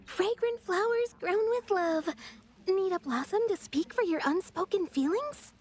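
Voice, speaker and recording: A woman playing a sweet, helpful young florist in an anime game, with a gentle shopkeeper's manner. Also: very high voice, animated, a little slow.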